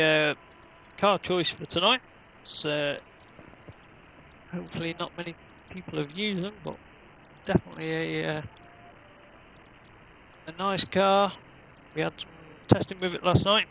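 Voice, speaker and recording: A man's voice: high voice, steady tone, unhurried, gravelly, long pauses, fairly bright tone, low-quality recording. A man commentating casually on an online racing livestream, rambling along in an easygoing, hesitant way.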